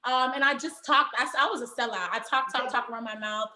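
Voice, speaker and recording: A woman's voice: sits high, fast, pushed and bright, echoey room. A young woman from Haiti raised in Miami, talking animatedly and laughing often as an interview guest. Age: young adult. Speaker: guest, performer. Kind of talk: casual interview.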